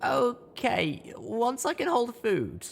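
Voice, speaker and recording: A man puts on a small, stubborn young mare from a fantasy audiobook. The voice is raised far up in pitch and sounds strained and weary. The delivery is earnest. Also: wildly swooping pitch, slow, creaky, full character voice. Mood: weary, insistent.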